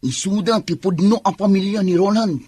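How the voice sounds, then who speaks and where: A woman playing a disapproving, compassionate confidante in a radio drama, husky and earnestly chiding. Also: medium pitch, steady tone, fairly quick, dark tone, no echo, lightly acted. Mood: reproachful. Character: disapproving confidante pitying her lover's children.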